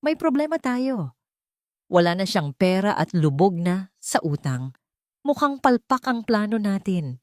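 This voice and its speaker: A woman's voice: medium pitch, big pitch swings, a little slow, no room sound, studio quality. A woman narrator voicing a cold, scheming young mistress in a drama story, terse and calculating. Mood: cold, alarmed.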